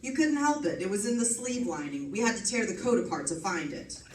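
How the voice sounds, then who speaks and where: A woman playing a gruff, sarcastic, stubborn male homicide detective in old-time radio drama, with slangy tough-cop talk. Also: medium pitch, big pitch swings, fairly quick, close to an everyday voice. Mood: dry accusing. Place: live stage performance, mic at a distance. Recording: distant mic in echoey, noisy room.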